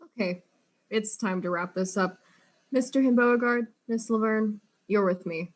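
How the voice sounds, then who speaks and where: A woman playing a brisk, wry, world-weary detective in a comedic audio drama. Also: mid-range voice, close to an everyday voice.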